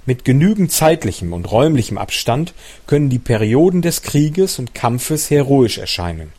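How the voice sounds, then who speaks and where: A man narrating a nonfiction audiobook in a steady, measured reading voice. His pitch sits in the ordinary middle range for a man. Now and then he stumbles and corrects a word before moving on. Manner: measured, even reading pace with occasional self-corrections. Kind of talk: reading a nonfiction book aloud.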